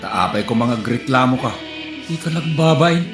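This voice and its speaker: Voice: medium pitch, lively intonation, moderate pace. A performer with an Ilocano accent acting in a comedy sketch, bantering and teasing. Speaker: comedic actor. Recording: echoey room with music underneath.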